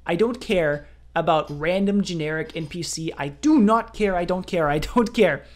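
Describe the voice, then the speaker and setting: A woman's voice: fairly low pitch, normal speed. A woman game streamer giving a ranting commentary, emphatic and repeating phrases for emphasis.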